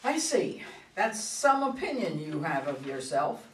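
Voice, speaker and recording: A woman's voice: sits low, big pitch swings, a little slow, slight background noise. Echoey far-off play reading, actress doing older lady politician, proud, indignant, ready for a fight. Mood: wary. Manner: indignant, emphatic. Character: combative, proud older woman politician, recovering alcoholic. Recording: echoey room, far from mic.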